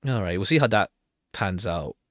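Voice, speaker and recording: A man's voice: sits low, lively intonation, moderate pace, dry recording, clean studio sound. A man streaming a game, chatting casually and reading dialogue aloud in a rambling, chatty manner. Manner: rambling, chatty, repeats himself. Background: background music.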